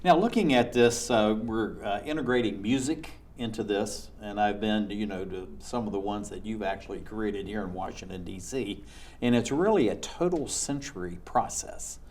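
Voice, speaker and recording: A man's voice: lowish voice, normal speed, a little mellow, a little hiss or hum. A man hosting an interview show, asking long rambling and enthusiastic questions. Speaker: talk show host.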